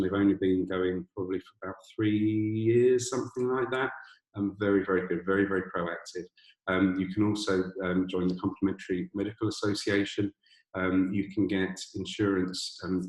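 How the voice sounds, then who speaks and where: Guy with a deep boomy voice doing a webinar, lots of restarts, echoey empty office sound. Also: a little mellow. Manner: hesitant, many restarts and fillers. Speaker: webinar presenter. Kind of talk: informational webinar talk. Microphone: computer mic on a video call.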